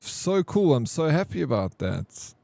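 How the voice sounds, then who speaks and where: A young man with a Johannesburg South African accent, live-streaming a game and chatting excitedly with his chat in slangy, casual talk. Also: a little high, measured pace, creaky, dry recording.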